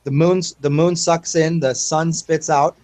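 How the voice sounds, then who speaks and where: A man's voice: a little high, steady tone, unhurried, darkish tone, dry recording. A man in a casual group voice chat, speaking earnestly and repeating words as he thinks aloud. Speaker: chat participant.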